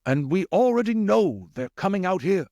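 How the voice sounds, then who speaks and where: A man voicing an earnest, commanding older rat leader for an audiobook, with a measured, questioning delivery. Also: high voice, big pitch swings, darkish tone, dry recording, muffled recording. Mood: grave, resolute. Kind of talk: audiobook character voice.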